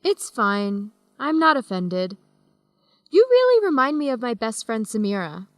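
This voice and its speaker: A young woman student on her travels, easygoing and fond of teasing. Her voice sits fairly high and swings freely in pitch. It is radio drama dialogue, recorded close and dry. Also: sing-song, measured pace, no room sound. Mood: lighthearted. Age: young adult.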